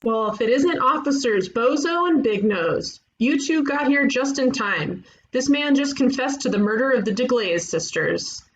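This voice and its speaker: A woman playing a bold, sarcastic detective in an animated comedy table read, brash and confrontational. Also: sits high, normal speed, few pauses, a little hiss or hum, rough recording. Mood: cocky. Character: bold, combative sarcastic female ghost-agency detective.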